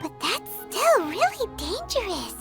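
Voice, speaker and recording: A woman voicing a tiny, naive, curious childlike fairy companion in a video game, with a squeaky, cutesy delivery. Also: huge pitch swings, unhurried, gravelly, over loud music, full character voice. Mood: puzzled, curious. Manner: squeaky, cutesy, refers to herself in third person. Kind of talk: animated video game voice acting.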